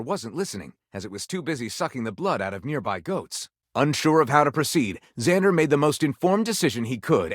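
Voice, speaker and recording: Game narrator: theatrical, salacious, melodramatic storyteller, very lively intonation, dry studio sound. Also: male voice, mid-range voice, no echo. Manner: theatrical, suggestive, grandiose. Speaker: game character voice actor. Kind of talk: dramatic scripted narration.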